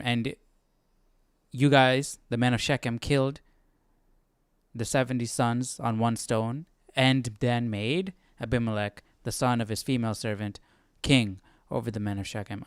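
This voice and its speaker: A man hosting a Bible study, casually thinking aloud as he reads, haltingly asking himself questions. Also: mid-range voice, fairly even intonation, clear, resonant tone, no echo, clean studio sound. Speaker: host of a live Bible study.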